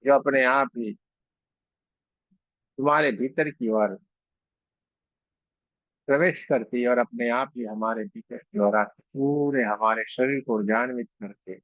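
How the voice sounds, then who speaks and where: A man guides a meditation in a medium-pitched voice with a noticeable creak. He gives slow, soothing instructions, repeating phrases and leaving pauses. The recording sounds slightly dull and muffled. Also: animated, no echo.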